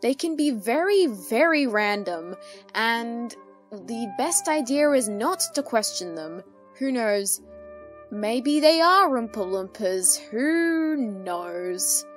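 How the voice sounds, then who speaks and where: A woman's voice: a little high, lively intonation, slow. A woman hosting a casual question-and-answer session, talking in a hesitant, rambling, lightly amused way. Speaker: YouTube host answering fan questions. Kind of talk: casual Q&A chat. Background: soft music.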